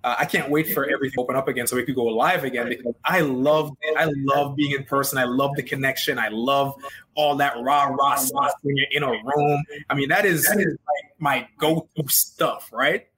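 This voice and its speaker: A man, a business coach, answering interview questions in an energetic, conversational way with many fillers and restarts. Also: medium pitch, low-quality recording.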